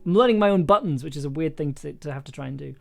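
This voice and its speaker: A man with a slightly high voice talks casually to a livestream while he works on audio. He rambles in an enthusiastic way, often repeating a word or restarting before he gets to the end of a thought. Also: creaky, a little mellow, soft background music. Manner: halting, rambling, with repeated words and trailing thoughts. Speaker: audio drama maker livestreaming an editing session.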